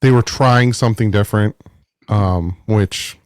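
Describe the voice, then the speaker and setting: A man talking football in a casual podcast chat, animated and exasperated, slipping into mocking voices. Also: on the low side, unhurried, clean tone, no echo, clean studio sound. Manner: animated, mocking the front office in put-on voices. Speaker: guest fan analyst.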